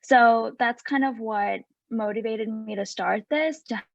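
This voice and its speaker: A woman's voice: a little high, unhurried, creaky, no echo. A woman in her twenties from Sri Lanka, a podcast guest and consent activist, speaking earnestly with some fillers. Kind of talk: casual interview talk. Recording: dry, dull lo-fi sound.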